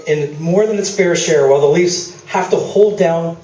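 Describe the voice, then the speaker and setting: A man's voice: on the high side, moderate pace, dark tone, distant mic, natural voice. A man playing a sardonic, needling press interviewer with a dry wit in a live stage radio play. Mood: exasperated. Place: small theatre, live performance. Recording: echoey, noisy theatre recording from afar.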